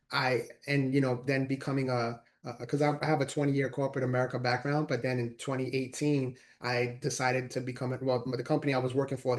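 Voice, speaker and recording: Quick, flat-voiced man in his forties. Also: medium pitch. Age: 40s. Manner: earnest, repeats words while talking. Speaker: guest, motivational speaker and author. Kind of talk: casual interview conversation.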